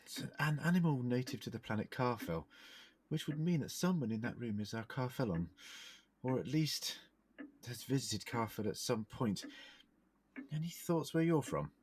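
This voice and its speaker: A curious, reassuring space-travelling hero, as a man acts him out for an audio drama. His husky, mid-pitched voice rises and falls in a lively way. He is polite and inquisitive, with small hesitations. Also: normal speed. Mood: pondering.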